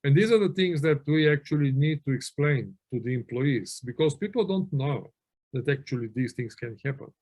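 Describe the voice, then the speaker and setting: A man's voice: medium pitch, lively intonation, normal speed, a little mellow. A middle-aged man from Bulgaria giving a webinar lecture, telling anecdotes in a conversational way with many fillers and restarts. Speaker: webinar presenter on auditing.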